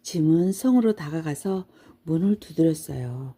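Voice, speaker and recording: Female storybook narrator for bedtime listening; soft dark timbre, slightly low, measured delivery. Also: expressive, husky.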